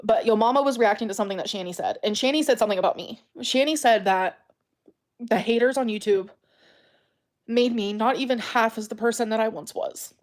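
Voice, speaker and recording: A woman speaking in a personal confessional vlog, earnest, halting and self-pitying. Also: a little high. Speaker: vlogger.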